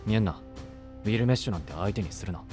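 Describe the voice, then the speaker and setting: Casting: male actor as proud young knight, lowish register, clipped and guarded, brooding temper, anime audio drama with music behind. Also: expressive, fast, warm tone, lightly acted. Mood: cold anger. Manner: terse, guarded. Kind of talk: anime-style voice drama acting.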